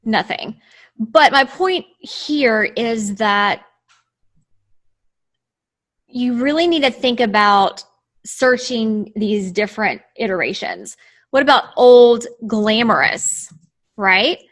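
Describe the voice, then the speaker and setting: Bright-voiced woman lawyer on a video call. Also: on the high side, expressive, slow, pauses often. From United States. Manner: chatty, restarts phrases, talks through her screen steps. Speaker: trademark lawyer. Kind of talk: casual explanatory chat over screen share.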